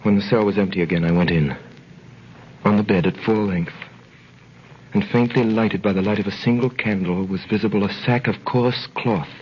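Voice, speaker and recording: An actor playing an earnest prisoner recounting his ordeal, with grave, measured, dramatic 1930s radio delivery. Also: fairly low pitch, close to an everyday voice. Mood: grave, tense. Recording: muffled, hissy 1930s radio recording.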